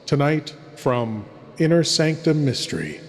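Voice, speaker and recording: Casting: male horror host, unhurried dramatic narration with a reverb-drenched sound. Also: a little high, very animated. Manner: slow, hushed, theatrical. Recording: long reverb, as in a hall.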